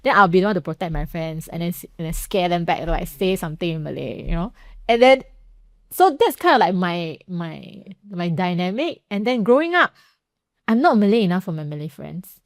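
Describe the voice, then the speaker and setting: A woman's voice: on the low side, big pitch swings, a little fast, no echo. Chatty Singaporean woman podcast host. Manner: chatty, storytelling, frequent "like" and "you know". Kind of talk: casual conversational interview.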